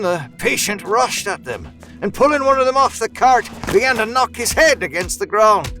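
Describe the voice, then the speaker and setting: An actor playing an earnest Victorian doctor, narrating a formal letter in an audio drama with careful storytelling. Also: male voice, sits high, fairly bright tone, heavily acted. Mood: urgent.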